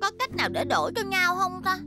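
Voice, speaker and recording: A woman's voice: very high voice, big pitch swings, fast talker, over music. A woman voicing a cheeky, spirited little schoolgirl who loves to play, in a children's cartoon dub. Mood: wistful.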